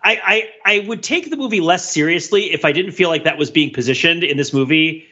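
A man co-hosting a podcast, discussing film casually in a digressive, animated, argumentative way with mock-exasperated emphasis. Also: on the high side, brisk, breathy edge, muffled recording. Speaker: podcast co-host.

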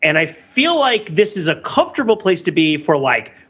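A man talking through film and music on a discussion podcast, analytical and rambling, with animated asides. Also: fairly high pitch, normal speed, husky, lo-fi sound. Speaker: podcast panelist.

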